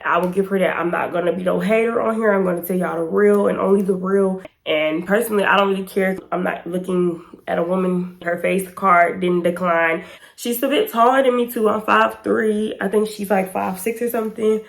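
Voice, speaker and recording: A woman in her twenties with an American accent, chatting casually and emphatically in a storytime vlog, her voice slightly hoarse and stuffy-nosed. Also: medium pitch, fairly even intonation, a little fast. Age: 20s. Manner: informal, slangy, chatty and emphatic. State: congested and slightly hoarse from pollen. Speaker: YouTube storytime vlogger. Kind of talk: casual storytime chat to camera.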